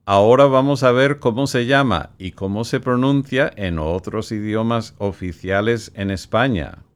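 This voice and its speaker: A man whose first language is English, hosting his own podcast in a measured, announcer-like way with sponsor reads. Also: on the low side, smooth. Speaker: podcast host and director.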